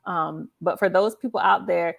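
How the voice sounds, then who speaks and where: A woman's voice: lowish voice, moderate pace, vocal fry. A woman hosting a casual interview, speaking emphatically and affirmingly and repeating points for her listeners. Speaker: host of a live author interview show.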